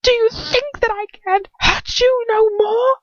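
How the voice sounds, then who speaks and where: A woman's voice: very high voice, fairly even intonation, unhurried, dry recording, voice pushed up. A woman voicing a cruel, haughty male wizard in an imperious, contemptuous manner, in a story reading. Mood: furious. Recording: dull lo-fi home recording.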